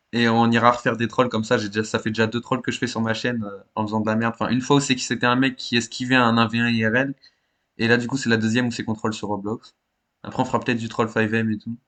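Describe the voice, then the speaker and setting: A man's voice: medium pitch, fairly bright tone. A man from chatting casually in slangy, sweary as the host of a gaming channel. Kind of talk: casual voice-chat banter about gaming.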